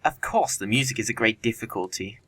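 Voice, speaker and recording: A man acting a glib, airy young Victorian gentleman in an audio play, witty and obliging. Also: on the low side, expressive, brisk, husky. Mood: breezy, placating.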